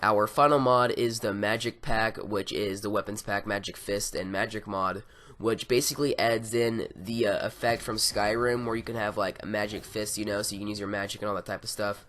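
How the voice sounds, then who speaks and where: A man with a raspy, hoarse voice from being sick, casually reviewing game mods with a rambling, filler-heavy delivery. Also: lowish voice, steady tone, moderate pace, fairly bright tone. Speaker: gaming YouTuber. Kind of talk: casual mod review over gameplay.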